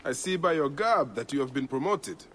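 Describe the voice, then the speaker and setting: An actor playing Bayek, a stern Egyptian warrior man who speaks in a grave, measured way and turns warm with children. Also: medium pitch, lively intonation, a little hiss or hum, close to an everyday voice. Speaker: game protagonist.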